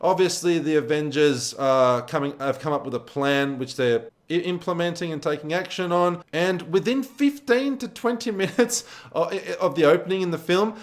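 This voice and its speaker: A man's voice: on the high side, normal speed, few pauses. A man reviewing a film in a casual, conversational style, repeating words for emphasis. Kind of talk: casual spoken movie review to camera.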